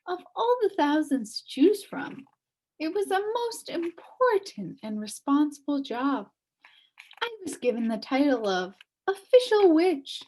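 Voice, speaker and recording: A woman's voice: high voice, darkish tone, rough recording. A woman voicing a sad, wistful elderly woman recounting her downfall in a storybook. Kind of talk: storybook character reading.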